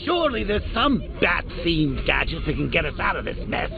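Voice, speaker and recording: An actor playing a gleeful, taunting male clown villain in a video game, mocking and theatrical, dropping to whispers and rising to shouts. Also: fairly high pitch, sing-song, moderate pace, creaky, a crowd in the background. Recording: tinny phone-like sound over music. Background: game music.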